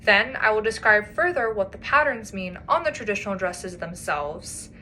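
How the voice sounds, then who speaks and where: A young woman delivers a prepared presentation to her class, earnest and explanatory in tone. Her voice is bright and forward, at an ordinary pitch for a woman. A faint background hum sits under the recording. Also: expressive, moderate pace. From United States. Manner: earnest, explanatory, with light laughs. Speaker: student presenter.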